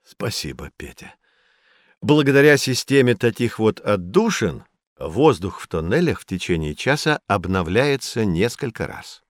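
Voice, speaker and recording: A kindly professor in an educational audio play. He explains patiently and encouragingly, in a lowish, very expressive voice with a dry studio sound. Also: measured pace, no room sound. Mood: explaining. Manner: instructive, encouraging. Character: kindly, patient male professor guiding children.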